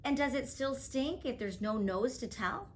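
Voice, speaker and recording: A woman's voice: high-pitched, normal speed, a little hiss or hum, voice pushed up, close to an everyday voice. A woman voicing a curious little girl full of questions, in an eager, questioning lilt, reading a storybook aloud.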